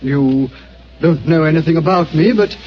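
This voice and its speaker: A man's voice: a little high, moderate pace, close to an everyday voice. An actor playing an anxious, businesslike male client with an urgent, worried manner in an old radio drama. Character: anxious, brusque male insurance broker client. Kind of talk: 1940s radio acting. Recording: dull old radio broadcast with faint hiss.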